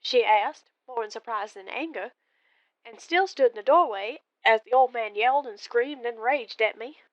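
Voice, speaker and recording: A woman tells a scripted ghost story with deliberate, expressive delivery. Her voice sits a little high. The sound is very dry and somewhat dull, like a lo-fi close recording. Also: dry recording. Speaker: storyteller calling in to a horror radio show. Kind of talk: dramatic scripted first-person ghost story narration.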